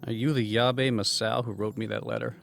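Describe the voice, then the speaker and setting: Man playing a gravelly, musing ex-professor. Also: mid-range voice, flat delivery, a little fast, fairly bright tone. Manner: earnest, musing inner monologue. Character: obsessive male former archaeology professor. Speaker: voice actor in a fan manga dub. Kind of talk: fan manga dub narration and dialogue. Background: music.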